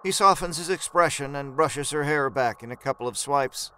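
A man narrating a horror story in a measured, suspenseful, dramatic storytelling style. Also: on the high side, pushed and bright, slight background noise.

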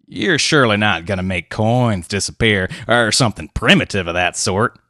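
A man voicing a cool, sardonic young gentleman, contemptuous and dryly polite. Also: on the low side, lively intonation, moderate pace, no room sound, clean studio sound.

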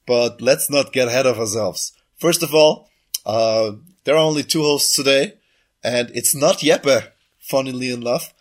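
A sick man hosts a casual podcast, breaking off to cough. He rambles and keeps restarting and correcting himself mid-thought. His voice sits at an ordinary middle pitch. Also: unhurried. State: sick, coughing, voice just back. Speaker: podcast host.

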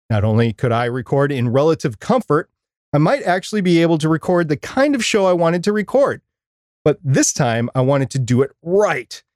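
A man co-hosting a podcast, talking and reading aloud in an animated, emphatic way. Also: fairly high pitch, dry recording, studio quality. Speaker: podcast co-host. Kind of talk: podcast talk and reading out a listener email.

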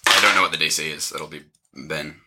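A man's voice: very deep voice, steady tone, a little fast, bright, forceful tone. A man acting as game master, rambling through a tabletop game session and laughing often. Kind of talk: running a tabletop game, casual chat.

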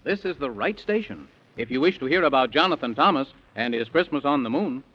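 A man's voice: medium pitch, expressive, brisk, heavy background noise. A man narrating a rhyming 1930s radio bedtime story in a playful, theatrical, rhythmic way. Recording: dull, muffled 1930s radio recording.

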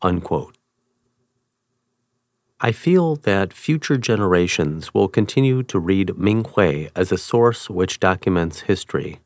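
Low-voiced man reading aloud, dry. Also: darkish tone, no room sound. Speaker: reader. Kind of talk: scripted reading of a first-person article.

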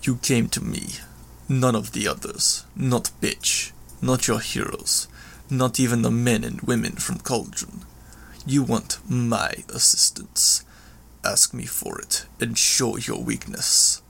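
A man voicing a proud, brutal supervillain with clawed hands, speaking in growled, halting phrases in an audiobook. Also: mid-range voice, expressive, vocal fry, fairly bright tone, slightly rough, exaggerated voice. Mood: contemptuous, demanding. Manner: growling, halting, clipped foreign-sounding phrasing.

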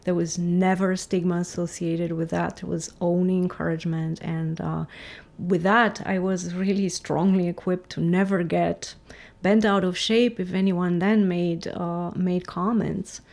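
A middle-aged woman from Romania, a physicist guest giving thoughtful, measured interview answers with frequent restarts. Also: lowish voice, flat delivery, creaky, a little mellow.

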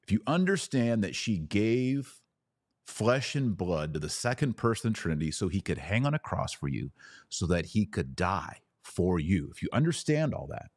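A man hosting a podcast, giving an emphatic theological teaching talk with rhetorical questions and dramatic pauses. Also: sits low, very animated. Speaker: podcast host.

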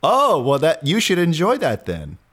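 A man's voice: fairly high pitch, wildly swooping pitch, moderate pace, fairly bright tone. A man hosting a casual podcast, chatty and rambling with fillers and restarts. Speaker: podcast host.

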